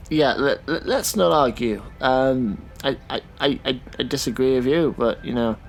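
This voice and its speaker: Man acting casual taxi driver, middling pitch. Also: unhurried, gravelly, slight background noise. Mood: disapproving. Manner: casual, conversational, hesitant. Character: easygoing but disapproving, opinionated taxi driver. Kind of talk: science fiction audio drama acting.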